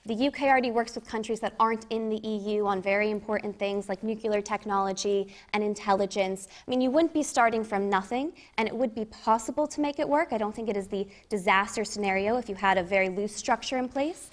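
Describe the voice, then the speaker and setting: A woman's voice: medium pitch, fast talker, hardly any pauses. A woman arguing as a panelist in a debate, articulate and assertive.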